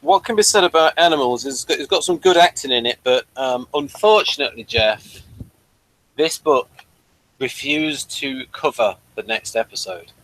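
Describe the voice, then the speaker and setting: A man's voice: medium pitch, lively intonation, moderate pace, a bit bright, no room sound, rough recording. A man co-hosting a casual review chat, often stumbling and repeating words as he starts a thought. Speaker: podcast co-host.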